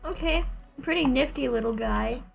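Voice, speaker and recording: A woman's voice: high-pitched, moderate pace, vocal fry, soft background music. A woman chatting casually as she plays a game on stream, thinking aloud with playful exasperation. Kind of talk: casual gameplay commentary to stream viewers.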